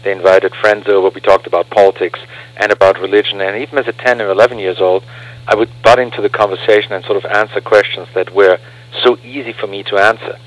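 A man's voice: on the low side, steady tone, fast talker, dry recording, poor audio. An earnest man, a guest on a radio interview, explaining his views through rhetorical questions. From Austria.

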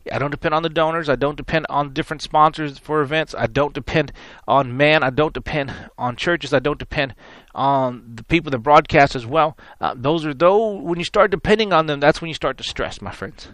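Hoarse, raspy male radio preacher. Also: on the high side, brisk, fairly bright tone, dry recording. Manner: rambling, repeats words, folksy preaching. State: hoarse from many interviews. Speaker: radio show host and minister. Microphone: studio microphone.

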